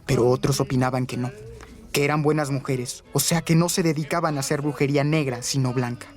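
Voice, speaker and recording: A man's voice: medium pitch, fairly even intonation, fairly quick, music in the background. A man, narrating a radio story in colloquial, scripted speech.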